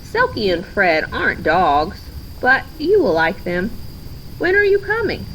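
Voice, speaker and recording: A woman's voice: medium pitch, huge pitch swings, a little slow, a bit bright, slight background noise, low-quality recording. A woman voicing a hurt, indignant, lonely young girl in a storybook, speaking earnestly and emphatically. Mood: earnest, tentative.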